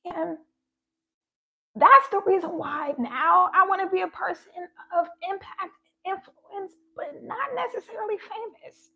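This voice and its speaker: A woman's voice: extremely high pitch, unhurried, vocal fry, highs cut off. A woman giving a heartfelt spiritual talk, her voice shaky and sounding close to tears. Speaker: spiritual vlogger.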